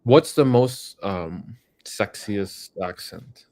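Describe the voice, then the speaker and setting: A man's voice: fairly low pitch, very slow, darkish tone. A man co-hosting a live Q&A, frank and motivational, giving tough-love advice. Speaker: co-host answering chat questions. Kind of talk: casual live-stream chat.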